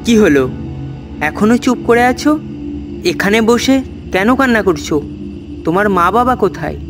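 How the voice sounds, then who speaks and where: A man's voice: sits high, lively intonation, normal speed, over music. A man playing a kind, curious young villager in a cartoon ghost story, speaking with concern. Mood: puzzled, questioning. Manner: questioning, concerned.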